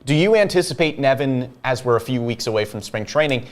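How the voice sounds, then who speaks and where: A male sports show host lays out the news and tosses questions to his guest, sounding smooth and steady. His voice sits in an ordinary middle pitch. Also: a little fast. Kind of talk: sports talk show hosting.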